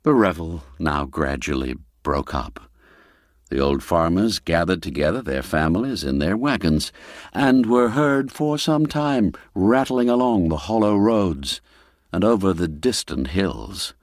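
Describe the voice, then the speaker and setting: A man narrating a classic ghost tale in a theatrical, expressive storytelling manner, dwelling on the darker words. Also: sits low, a little slow, dry recording, studio quality.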